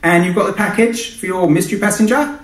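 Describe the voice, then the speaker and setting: A man voicing a brash, matey charter client who talks in loud clipped bursts, in a reading from a novel. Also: high-pitched, quick pace, pitched above the performer's own voice, close to an everyday voice. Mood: brash, breezy. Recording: echoey hall, distant microphone.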